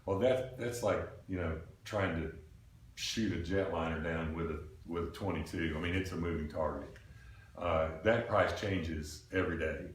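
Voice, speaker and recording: An older man speaks in a very low voice, folksy and plain, as he lays out a proposal to a committee. He is picked up from across a roomy meeting space. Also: normal speed. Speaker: committee member presenting a proposal. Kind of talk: informal explanation at a public meeting. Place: small indoor meeting room. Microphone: camera microphone across the room. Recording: roomy, distant mic with background noise.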